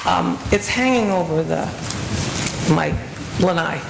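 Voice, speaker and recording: Hoarse woman losing her voice. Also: lowish voice, takes it slowly. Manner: earnest, anxious requests with restarts. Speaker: resident speaking at public comment. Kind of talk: public comment at community meeting. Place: community meeting room. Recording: echoey meeting room with background noise.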